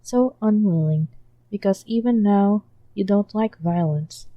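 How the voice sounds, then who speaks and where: A woman's voice: medium pitch, a little slow, no echo, dull audio, natural voice. A woman reading a podfic, voicing a smug adult male hitman who drawls at his former student.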